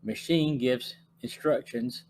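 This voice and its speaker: A podcast host reading a measured plot summary from notes. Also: male voice, medium pitch, a little mellow, slight background noise.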